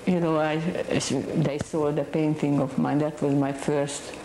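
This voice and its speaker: Hungarian lady painter, lowish mellow voice, stops n restarts a lot, echoey room. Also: level tone, slight background noise. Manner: halting, searching for words. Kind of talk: interview monologue.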